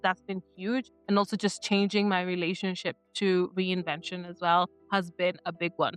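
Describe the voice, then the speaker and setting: A woman's voice: medium pitch, a bit bright, smooth, no room sound. A middle-aged woman from Kenya giving an earnest, reflective talk to camera, often restarting her phrases and checking in with "right?". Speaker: vlogger.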